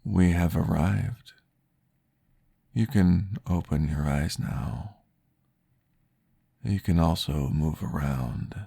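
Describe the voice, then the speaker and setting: A man playing a calm, courteous vampire therapist in an ASMR roleplay, guiding gently and formally in a breathy, gravelly voice. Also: rumbling low, heavily acted. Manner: slow, gentle, formal, soothing guidance.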